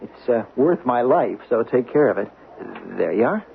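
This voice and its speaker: Eager young assistant, tinny radio. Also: male voice, mid-range voice, very animated, normal speed, gravelly. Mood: polite, casual. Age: young adult. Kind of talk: old-time radio drama acting. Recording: noisy, phone-like old radio broadcast.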